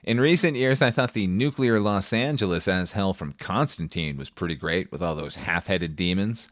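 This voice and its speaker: A man's voice: low-pitched, normal speed, no room sound, studio quality. A man hosting a film review podcast, delivering conversational, scripted commentary in a wry, opinionated way with emphatic asides. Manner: casual swearing.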